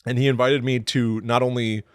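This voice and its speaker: A man's voice: mid-range voice, little pitch movement, a little fast, smooth, no room sound. A man co-hosting a podcast in a casual, chatty way. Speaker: podcast co-host.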